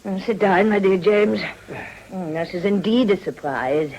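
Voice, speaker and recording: A woman's voice: mid-range voice, unhurried, a little mellow, a little hiss or hum, natural voice, low-quality recording. An actress playing a worldly upper-class British society lady in a period radio drama, gracious, teasing and inquisitive. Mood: gracious, affectionate.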